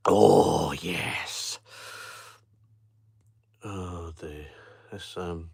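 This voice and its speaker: A middle-aged man with a Norfolk accent, hosting a relaxed live chat for viewers of his travel channel, rambling with ums and chuckles. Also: low voice, very slow, gravelly, breathy edge. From Norfolk, England. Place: home at night, streaming on a phone. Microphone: phone held in portrait mode.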